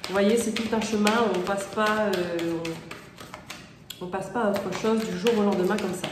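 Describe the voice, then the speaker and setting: A woman's voice: medium pitch, steady tone, normal speed. A woman with a cold and a stuffy nose, giving a casual, hesitant tarot reading full of fillers, often checking "d'accord?". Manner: hesitant, many fillers and rephrasings. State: has a cold. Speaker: tarot card reader. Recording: roomy echo with faint room noise.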